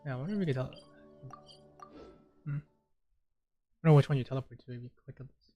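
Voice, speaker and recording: Male gamer, average pitch, casual commentary, offhand, self-directed mumbling. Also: brisk, mellow, breathy edge, soft background music. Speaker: gamer, streamer.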